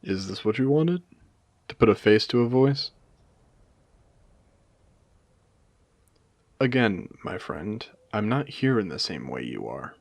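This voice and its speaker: A man playing a courteous, eerie AI who hosts an abandoned post-apocalyptic casino in an audio drama, speaking theatrically with deliberate pauses. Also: sing-song, no echo, full character voice. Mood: wistful, insistent. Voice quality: low, creaky voice. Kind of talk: scripted character audio drama monologue.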